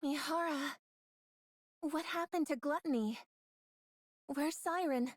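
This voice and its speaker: A woman's voice: high voice, takes it slowly, no room sound, studio quality. A woman playing a timid but stubbornly loyal young female android soldier in an anime game dub, earnest and pleading. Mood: urgent, worried.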